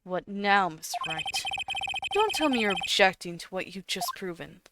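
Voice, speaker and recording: A woman's voice: mid-range voice, sing-song, normal speed, very noisy, voice pushed down. A woman voicing a stern male judge in a courtroom game, with a formal, questioning manner. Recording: music playing behind voice.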